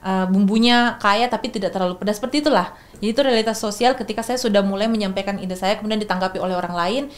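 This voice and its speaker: A woman's voice: mid-range voice, fast talker. Young woman from explaining. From Makassar, Indonesia. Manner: thoughtful, many restarts and fillers. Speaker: guest speaker. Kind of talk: explanatory podcast talk.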